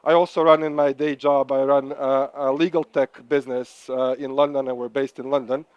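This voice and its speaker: A man with a Croatian accent hosting a live startup pitching event, upbeat and encouraging. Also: level tone, normal speed, clear, resonant tone, no echo, highs cut off. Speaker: host.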